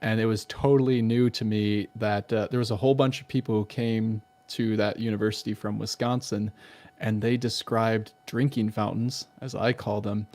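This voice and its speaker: A man's voice: on the low side, steady tone, moderate pace, darkish tone. Easygoing man hosting a podcast. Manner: easygoing, laughs along, short interjections. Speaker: podcast host. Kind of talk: casual podcast interview.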